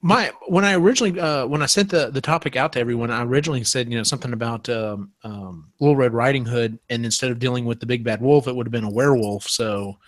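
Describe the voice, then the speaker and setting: A man's voice: mid-range voice, quick pace, hardly any pauses, no room sound. A man hosting a casual panel chat, rambling a little as he feels for words. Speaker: podcast host.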